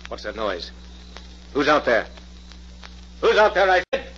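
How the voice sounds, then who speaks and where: An actor playing a cruel, commanding, megalomaniac professor in a pompous, declamatory 1940s radio thriller style. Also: male voice, mid-range voice, very animated, measured pace. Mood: suspicious. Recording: muffled, noisy old radio recording.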